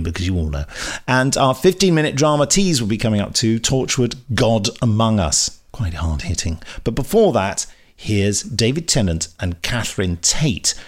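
A man presenting a podcast in a chatty, jokey, self-mocking and digressive way. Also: fairly low pitch. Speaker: podcast host.

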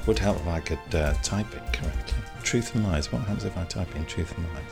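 A man's voice: deep voice, a little fast. A man with a British accent casually co-hosting a podcast in a jokey manner. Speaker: podcast co-host. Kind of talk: casual presenting. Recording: clear music behind.

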